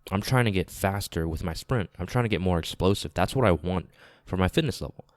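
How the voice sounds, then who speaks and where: A man with a deep, resonant voice speaks earnestly and informally, as a podcast host alone at the microphone. He sounds congested and a little nasal from a lingering cold, and he restates phrases for emphasis. The sound is close and dry. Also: fairly quick, dry recording. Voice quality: slightly raspy, nasal. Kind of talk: casual podcast monologue.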